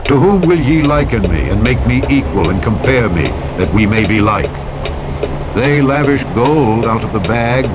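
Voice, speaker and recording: A man narrating scripture from a script in a solemn, declamatory, measured way, phrase by phrase. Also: medium pitch, lively intonation. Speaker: audiobook narrator. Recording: loud vehicle noise over voice. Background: loud truck driving sounds from a video game.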